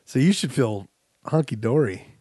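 A man hosting a casual podcast chat on a podcast microphone, loud and teasing, laughing easily. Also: medium pitch, expressive, moderate pace, slightly rough, no echo, studio quality. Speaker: podcast host.

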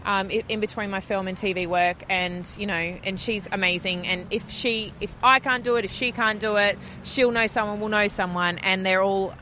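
A woman talks as a podcast guest about her makeup work in an easygoing, rambling way. Her voice sits in a middle pitch, with faint noise in the background. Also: steady tone, normal speed, hardly any pauses, poor audio. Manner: chatty, warm laughs, trailing sentences. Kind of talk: casual chat.

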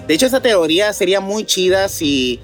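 A Mexican man with a somewhat high voice speaks as a podcast co-host in a casual debate. He reasons out loud haltingly, doubling back on words, while soft music plays underneath. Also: sing-song.

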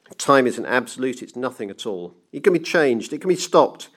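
A man's voice: mid-range voice, expressive, fairly quick, fairly bright tone. A man voicing a frenzied, domineering banker in an audiobook story, with a grandiose, barking manner. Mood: ranting. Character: frenzied, domineering male banker obsessed with defeating time. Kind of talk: dramatised audiobook reading.

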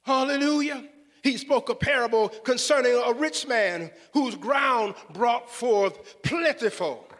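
A man's voice: very high-pitched, takes it slowly, a bit bright. A man preaching a fervent sermon with scripture, exclaiming and repeating phrases for emphasis. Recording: echoey hall with long reverb.

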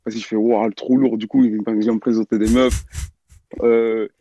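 A man's voice: lowish voice, little pitch movement, moderate pace, dark tone. An eighteen-year-old man from Belgium telling a personal story in a casual, slangy way. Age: teens. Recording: dull dry mic.

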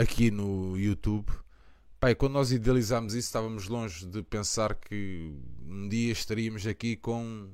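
Passionate Portuguese man, smooth dry voice. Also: lowish voice, a little mellow, no room sound. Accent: European Portuguese. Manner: passionate, rambling, lots of fillers. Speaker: podcast host of a fan channel. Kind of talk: casual live chat.

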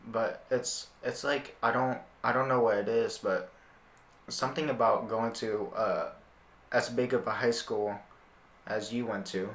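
Young man, mid-range voice, podcast host, casual, halting delivery, roomy echoing recording. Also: medium pitch, steady tone, fairly bright tone. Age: young adult. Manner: halting, repeats words while thinking.

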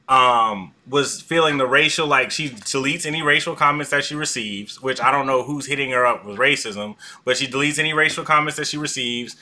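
A man's voice: medium pitch, sharp tone. A man hosting a casual live-stream discussion over a video call, talking loosely with many fillers and restarts and laughing often. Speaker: host. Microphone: video call mic.

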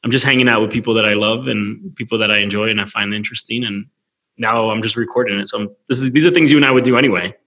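A relaxed male podcast host with a bright, forceful voice who laughs easily and talks in loose, friendly bursts. He keeps the chat casual and quick to restart his sentences. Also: on the low side, rough recording.

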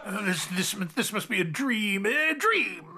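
An actor playing a frightened, querulous old miser in a theatrical radio drama, stammering and halting with a quaver. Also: male voice, high-pitched, big pitch swings, bright, forceful tone, slight background noise, exaggerated voice. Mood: terrified.

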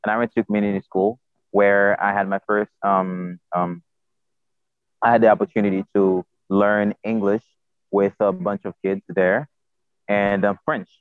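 Over a narrow, phone-like connection with no room sound, a man with a Haitian accent answers interview questions. He is a native Haitian Creole speaker with a slightly low voice. He talks hesitantly, repeating words while he searches for the next one. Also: little pitch movement, unhurried, smooth, no room sound. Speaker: podcast guest, self-taught polyglot. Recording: tinny phone-like line.

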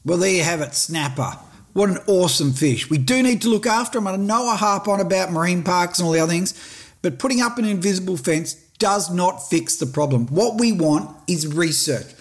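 A man's voice: high-pitched, animated, fairly quick. A middle-aged Australian man hosting a fishing podcast, talking in a blunt, passionate and plain-spoken way. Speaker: fishing show host. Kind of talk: casual podcast talk.

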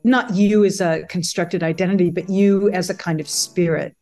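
A woman's voice: on the low side, steady tone, moderate pace, dark tone, soft background music. A woman guest in a reflective conversational interview, thoughtful and searching for her words.

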